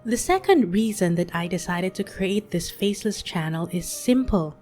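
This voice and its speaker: A woman in her late thirties, speaking gently and soothingly in a reflective monologue as a podcast host. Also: mid-range voice, expressive, moderate pace, soft background music. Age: 30s. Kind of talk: soft-spoken reflective monologue.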